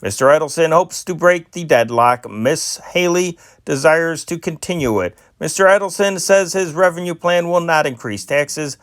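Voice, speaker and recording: Guy reading old news clippings, a bit high pitched, dry close sound, trips on words now and then. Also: normal speed, hardly any pauses, no echo. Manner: steady reading with small slips and restarts. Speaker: newspaper reader.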